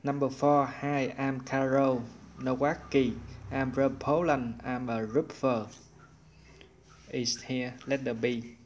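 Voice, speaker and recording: A man teaching an online English lesson, working through exercises in a slow, methodical way. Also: mid-range voice, level tone, highs cut off, a little hiss or hum.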